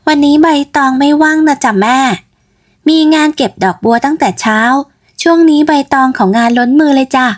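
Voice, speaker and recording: A woman voicing a cheerful, polite young village woman in a storybook tale, with sweet, animated phrasing. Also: sits high, moderate pace, natural voice. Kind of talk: storybook character voice.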